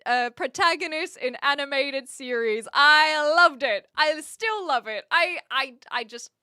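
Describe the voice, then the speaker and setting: A woman from New Zealand with a posh Kiwi accent, chatting casually as a livestream host in an expressive, giggly way. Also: high-pitched, measured pace, no echo, clean studio sound. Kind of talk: casual livestream chat, answering viewer comments.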